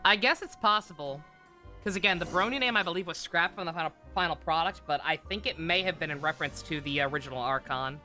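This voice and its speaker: Guy streaming a game, really bright voice, rambly and unsure, bit of music under him. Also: fairly high pitch, quick pace. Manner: rambling, hesitant, trails off mid-thought. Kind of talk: casual livestream commentary over gameplay.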